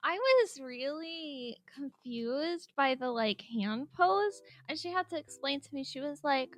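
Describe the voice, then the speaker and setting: A woman casually narrating her drawing process over a speedpaint, chatty and giggly, rambling with lots of filler words, speaking higher than her usual voice. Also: lively intonation, unhurried. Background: soft background music.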